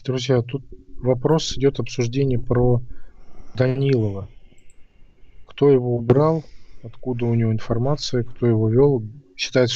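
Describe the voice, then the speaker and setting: A man's voice: medium pitch, mellow, dry recording. Guy chatting on a stream, hesitant, keeps saying dunno, dull dry mic. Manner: rambling, hesitant, reads out chat. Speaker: stream co-host. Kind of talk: casual stream chat.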